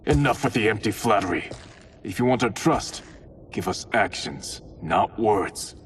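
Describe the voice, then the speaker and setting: Stern, low-voiced warrior leader. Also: male voice, expressive, measured pace, recorded from a distance, a little hiss or hum. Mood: stern, distrustful. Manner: commanding, blunt. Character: stern male warrior leader, commanding, distrustful, tactical.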